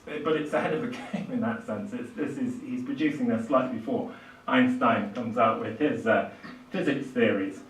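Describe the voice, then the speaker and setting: An older man giving a public lecture off the cuff, in halting, stop-start phrases with emphatic stresses. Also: mid-range voice. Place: small indoor lecture room at a lectern. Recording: echoey room, distant microphone.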